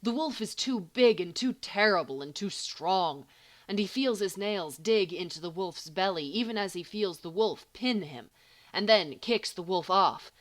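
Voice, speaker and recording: A woman reading a story as scripted narration, expressively and with deliberate, emphatic phrasing. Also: mid-range voice, lively intonation. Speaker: audiobook reader of fan fiction.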